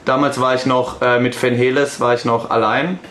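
A man in his thirties, singer and songwriter of a band, talks in a relaxed, easygoing way. His voice echoes around the room over a faint hiss. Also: medium pitch, normal speed. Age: 30s. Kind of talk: casual interview talk.

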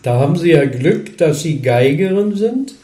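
Interviewer, calm questions, short backchannels, mellow tone, echoey room. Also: medium pitch, moderate pace, recorded from a distance. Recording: roomy echo.

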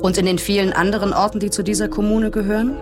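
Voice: mid-range voice, level tone, fast. Scripted narrator over prominent music. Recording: music and traffic behind the voice.

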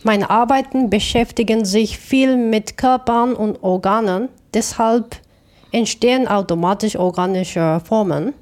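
A woman from Japan with a Japanese accent, giving reflective interview answers in a thoughtful, halting way with self-corrections. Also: a little high, darkish tone. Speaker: interview guest, artist.

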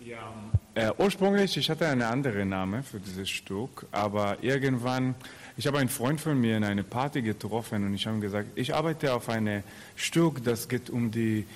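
A man's voice: mid-range voice, lively intonation, moderate pace. Casual man with an Israeli accent. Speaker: actor and theatre maker. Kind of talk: casual interview answers.

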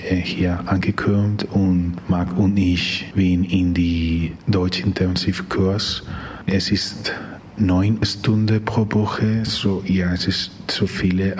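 A man in his twenties, a native Spanish speaker, answering interview questions in hesitant, halting learner's German. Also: deep voice, a little hiss or hum. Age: 20s. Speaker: volunteer interviewee.

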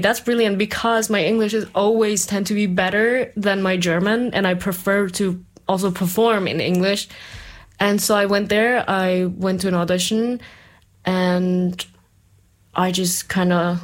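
A woman from Iran talking casually as an interview guest, chatty, with "like" sprinkled through her sentences. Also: lowish voice. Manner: chatty, uses "like" often. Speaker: guest, community theater performer.

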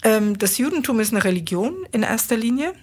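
A woman's voice: a little high, expressive, fairly quick, breathy edge. Thoughtful woman guest from Israel. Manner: thoughtful, explains at length with frequent hesitations. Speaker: interview guest. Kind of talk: conversational interview. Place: studio.

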